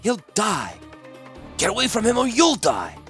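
Man voicing a snarling, high-strained savage prisoner. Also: wildly swooping pitch, measured pace, soft background music, heavily acted. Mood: menacing, furious. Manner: snarling, shouting, seething. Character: savage, vengeful wounded man, a desperate prisoner. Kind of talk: dramatic fantasy audiobook character.

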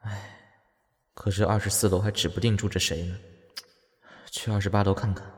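A man's voice: on the low side, flat delivery, moderate pace, slightly rough. An actor playing an easily flustered, playful young man in an audio drama, with hesitant, stammering delivery. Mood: resigned. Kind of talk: Chinese audio drama acting.

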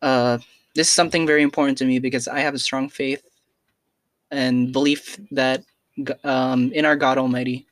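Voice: medium pitch, little pitch movement, normal speed, dry recording. A young adult student talking through a casual personal podcast in an earnest, hesitant way, with many fillers and restarts.

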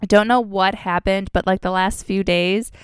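A woman's voice: medium pitch, steady tone, normal speed, clear, resonant tone, no room sound, studio quality. Chatty young woman hosting a podcast, congested. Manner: chatty, lots of "like" and "um" fillers. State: congested, sick with a cold. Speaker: podcast host. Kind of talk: casual true crime podcast narration.

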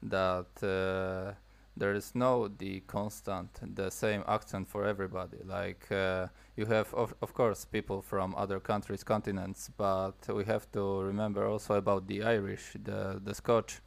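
Low gravelly man hosting an interview. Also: flat delivery, unhurried. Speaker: interviewer, host. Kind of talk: conversational interview.

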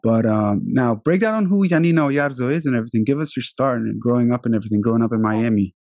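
A man hosting a podcast, easygoing and loose. He repeats himself and leans on fillers, his voice at an everyday middle pitch. The sound is dry and close, with no room echo. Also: fast, darkish tone. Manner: laid-back, repeats words and fills with "like". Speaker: podcast host. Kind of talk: casual podcast interview.

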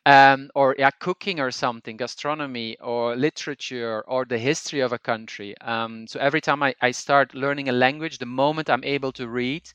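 Flemish man with a smooth, dry voice. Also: mid-range voice, steady tone, a bit bright, no echo. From Belgium. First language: Dutch. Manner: explanatory, thinks aloud with pauses. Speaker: language teacher and podcaster, guest. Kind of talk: conversational interview.

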